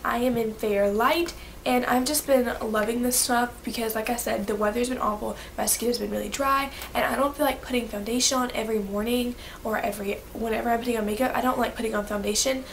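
A woman's voice: fairly high pitch, steady tone, creaky, slight background noise. Bubbly young woman with a stuffy nose. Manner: bubbly, gushing, quick asides. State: stuffy, coming down with a cold. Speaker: beauty vlogger. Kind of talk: casual monthly favorites vlog. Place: bedroom, indoors.

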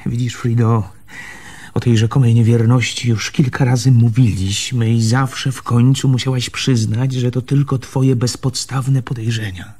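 Radio drama, insistent wronged fiancé, rough-edged voice, argumentative, repeats himself. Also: male voice, medium pitch, steady tone, measured pace, dark tone. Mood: hurt, insistent. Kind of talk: Polish radio theatre acting.

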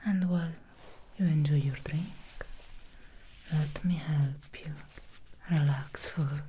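A woman's voice: low voice, fairly even intonation, warm tone, a little hiss or hum, rough recording. A woman whispering as an alluring demon stylist. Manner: slow, teasing and alluring. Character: seductive female demon hair stylist from hell. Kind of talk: ASMR roleplay. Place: indoor studio set. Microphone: close ASMR microphone.

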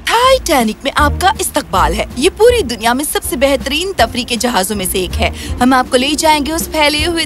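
A woman voicing a brisk, cheerful young cruise ship announcer in a cartoon, giving a tour announcement. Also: high voice, a bit bright. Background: music.

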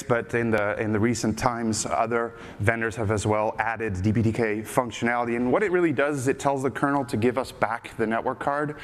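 A middle-aged man from the Netherlands with an American-sounding English accent, giving a casual technical conference talk on stage, full of filler uh's and restarts. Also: mid-range voice. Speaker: conference speaker. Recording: reverberant hall with faint background noise.

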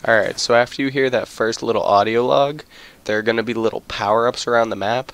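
A man's voice: medium pitch, a little fast, a bit bright, clean tone. A man narrating a casual video game walkthrough.

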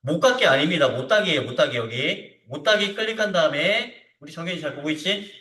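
A male instructor walks through a practical software lesson in a casual, checking-in way. His voice sits a little high and swings in lively rises and drops. It is picked up from a distance in a roomy, echoing space. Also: a little fast. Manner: informal, repeatedly checks understanding with short tag questions. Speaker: CAD instructor. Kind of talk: hands-on software tutorial lesson. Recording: roomy echo, distant microphone.

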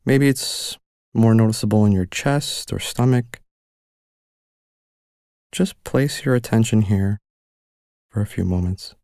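A man leading a guided mindfulness meditation with slow, gentle and soothing instructions. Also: lowish voice, no echo, clean studio sound.

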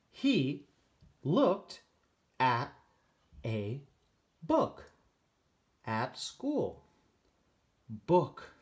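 A man, a first grade teacher, reading aloud for beginning readers in a deliberate way, separating each word. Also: mid-range voice, a little mellow.

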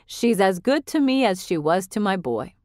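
A woman voicing a calm, stoic grandmother who speaks quietly and evenly. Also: mid-range voice, normal speed, no echo, studio quality, lightly acted.

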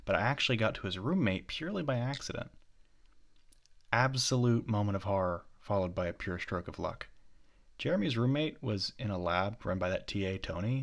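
Man acting a low-voiced possessive, obsessive lover. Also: fairly bright tone. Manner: intimate, halting, drops into breathy whispers. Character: possessive, obsessive young man, body-hopping stalker, flustered and rambling. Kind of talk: ASMR roleplay acting.

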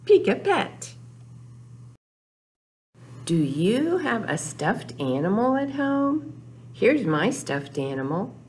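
A woman's voice: medium pitch, big pitch swings, takes it slowly, pauses often, a little mellow, a little hiss or hum. An older woman hosting a children's storytime, speaking in simple, repeated phrases to young listeners in a small room. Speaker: children's librarian and storytime host. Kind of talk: children's storytime with rhymes and sing-along. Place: small indoor room, recorded for video.

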